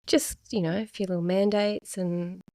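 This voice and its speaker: A middle-aged woman, talking casually and reflectively as a podcast guest, pausing often. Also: on the low side, steady tone, no room sound, studio quality. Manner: soft-spoken, reflective, with many pauses and fillers. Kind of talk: casual chat.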